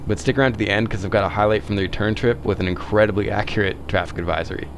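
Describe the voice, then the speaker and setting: Low-voiced man narrating quickly. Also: steady tone, noisy background. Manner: explanatory and upbeat. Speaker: video creator narrating. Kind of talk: voice-over narration.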